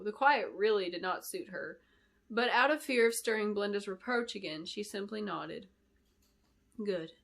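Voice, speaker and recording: A woman's voice: mid-range voice, fairly bright tone. A woman author presenting and reading her own fantasy story in a storybook narration style. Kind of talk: storybook narration with spoken introduction.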